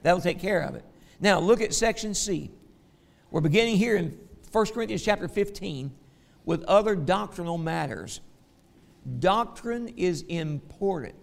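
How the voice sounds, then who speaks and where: A man with a Southern American accent teaching a Bible lesson, folksy in his asides and slow and emphatic when he reads scripture. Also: high voice, animated, gravelly, long reverb tail. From Southern United States.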